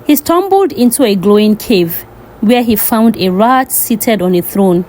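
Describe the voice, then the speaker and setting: A woman's voice: medium pitch, lively intonation, measured pace, a little mellow, slight background noise. A woman storyteller reading a scripted folktale narration.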